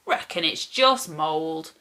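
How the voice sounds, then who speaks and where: A woman voicing a gruff but kindly old grandfather in a children's storybook, blunt, teasing and matter-of-fact. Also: deep voice, lively intonation, slow, bright, forceful tone, pitched below the performer's own voice, heavily acted. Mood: dismissive, brisk. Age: older. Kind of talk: children's storybook character reading.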